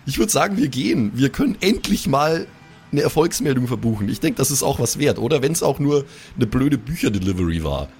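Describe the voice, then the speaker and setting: A man's voice: mid-range voice, very animated, a little fast, a little mellow, faint music behind. A man playing a tabletop role-playing game, improvising casually in slang with English phrases mixed in.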